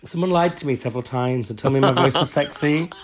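A man co-hosting a podcast, talking in crude, joking banter with a hoarse voice that is still recovering. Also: mid-range voice, quick pace, heavy background noise. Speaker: podcast co-host. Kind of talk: casual comedic chat.

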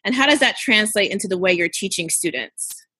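A woman interviewer asking questions in a measured, thoughtful way with some hesitation. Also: mid-range voice, animated, bright, forceful tone, slightly rough, poor audio.

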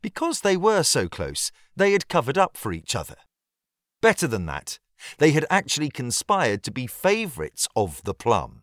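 British male audiobook reader, mid pitch, very varied melody, drily witty, measured storytelling. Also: huge pitch swings, fairly bright tone, no room sound, clean studio sound. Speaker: audiobook narrator. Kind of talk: scripted narration of a period novel.

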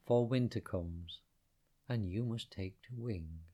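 A man voicing an old, gentle male tree in a spoken storybook poem, talking softly with a sigh. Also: fairly low pitch, slow pace, breathy edge. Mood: tender. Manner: soft, sighing.

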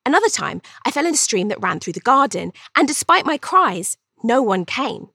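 A woman's voice: on the high side, very animated, normal speed, creaky, bright, forceful tone, breathy edge, no echo, studio quality, exaggerated voice. A woman voicing a cheeky, vain young Regency Englishwoman telling her own story in an arch, chatty, theatrical way for an audiobook. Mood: wry, confiding. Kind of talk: first-person audiobook storytelling.

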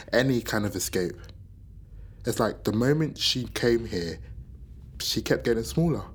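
An actress playing a bitter, grieving granddaughter in a naturalistic radio drama, with a husky voice and a blunt, brooding manner. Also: female voice, rumbling low, heavily acted.

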